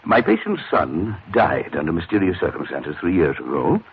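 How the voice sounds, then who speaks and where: A man's voice: sits low, wildly swooping pitch, normal speed, exaggerated voice. An actor playing a fussy, self-important psychoanalyst in 1940s radio drama, pedantic and hesitant, repeating himself as he goes. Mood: pompous, fussy. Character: fussy, rambling male psychoanalyst, self-important and easily flustered. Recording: dull, noisy old radio recording.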